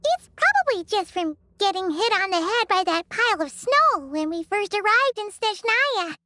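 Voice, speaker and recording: A woman voicing a tiny, chirpy, inquisitive floating girl companion in a video game, with a squeaky childlike voice and perky manner. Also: sing-song, moderate pace, sharp tone, no echo, clean studio sound, full character voice. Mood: woozy but chipper. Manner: refers to herself in third person, perky.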